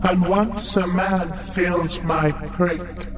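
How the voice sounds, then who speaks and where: An actor playing a monstrous alien cactus overlord in a sci-fi comedy, menacing and grandiose, with a portentous, imperious delivery. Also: male voice, high-pitched, steady tone, drawn-out words, reverberant, music in the background. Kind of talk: sci-fi comedy villain acting.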